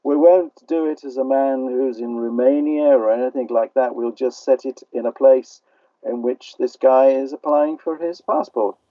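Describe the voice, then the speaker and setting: A man's voice: mid-range voice, normal speed, no echo. An older man with a British accent answering interview questions conversationally as a playwright, a little hesitant, over a remote call line. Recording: dull, band-limited call line.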